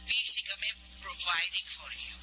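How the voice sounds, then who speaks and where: A woman's voice: medium pitch, normal speed, bright, forceful tone. A woman playing a busy, defensive middle-aged mother in a Tagalog radio drama, scolding and pleading with a Filipino accent. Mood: exasperated, pleading. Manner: scolding, pleading, mixes in English. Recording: noisy, humming telephone-style radio drama.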